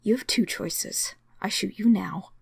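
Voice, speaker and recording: A woman's voice: medium pitch, a little slow, vocal fry, husky, no room sound, clean studio sound, full character voice. A woman voicing a feisty, sarcastic young female guard with tense, emphatic delivery in a story reading. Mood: defiant.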